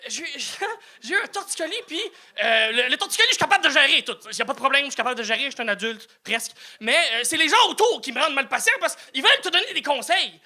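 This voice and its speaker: A man's voice: extremely high pitch, animated, fast, few pauses, sharp tone. A man, doing a self-mocking stand-up set with stammered restarts and swearing. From New Brunswick, Canada. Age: 30s. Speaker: stand-up comedian.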